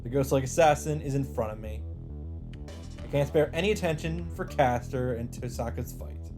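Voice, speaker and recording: A man with a mid-pitched voice reads a visual novel's narration aloud as a let's play host. He tells it as dramatic first-person storytelling, with light game music underneath. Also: moderate pace.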